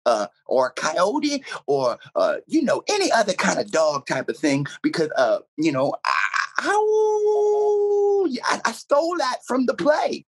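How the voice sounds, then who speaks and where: A man playing an eccentric, earnest werewolf radio DJ being interviewed on a comedy show, husky, theatrical and rambling. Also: very high voice, huge pitch swings, measured pace, heavily acted. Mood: eager, theatrical. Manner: theatrical, rambling, swooping emphasis.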